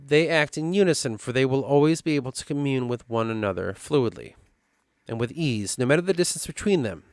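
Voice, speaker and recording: A man's voice: mid-range voice, animated, no room sound. Dry-voiced Midwestern man musing over notes. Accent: Midwestern American. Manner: thinking aloud, halting, restarts, slows down for lore passages. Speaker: worldbuilding writer. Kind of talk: reading and musing over his own lore notes.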